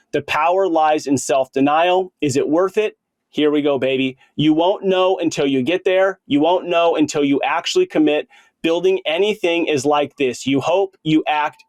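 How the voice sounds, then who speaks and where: A man's voice: a little high, no echo. A man in his late thirties hosting a podcast, talking earnestly and informally with plenty of bro slang. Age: late 30s. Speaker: podcast host. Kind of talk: casual conversational podcast interview.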